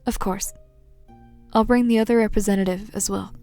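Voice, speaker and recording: Guarded, frank woman delegate, acted out, mid-pitched voice. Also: fairly even intonation, mellow, soft background music, close to an everyday voice. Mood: polite. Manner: measured, frank. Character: guarded, serious female delegate, frank and skeptical. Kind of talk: fan dub character acting.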